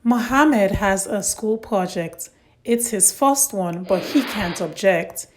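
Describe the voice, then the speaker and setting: A woman reads a story aloud for small children, speaking at a middle pitch in simple, deliberate phrases. She addresses young listeners directly as host and narrator. There is some noise in the recording.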